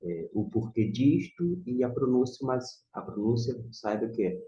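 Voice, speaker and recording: A man's voice: medium pitch, big pitch swings, measured pace, dark tone. A man with a Brazilian Portuguese accent giving an informal tutorial as a language teacher, didactically repeating and sounding out words. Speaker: Biblical Hebrew teacher. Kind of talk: informal screen tutorial lesson. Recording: muffled, echoey room.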